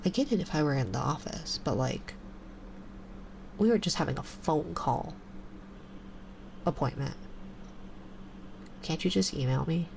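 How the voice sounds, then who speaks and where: A woman in her twenties with a stuffy nose, chatting softly on a live ASMR stream and slipping into whispers. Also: low-pitched, measured pace, gravelly, pauses often, slight background noise, rough recording. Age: 20s. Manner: soft and relaxed, drifting into whispers. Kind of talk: casual chat to a live stream. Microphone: binaural mic.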